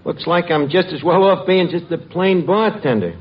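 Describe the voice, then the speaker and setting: An actor playing a wisecracking, slangy bartender in a 1940s radio comedy. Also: male voice, sits high, moderate pace, close to an everyday voice. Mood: wry. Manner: folksy, ungrammatical, wisecracking. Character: wisecracking, slangy male bartender running a tavern. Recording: muffled, very noisy vintage radio, audience.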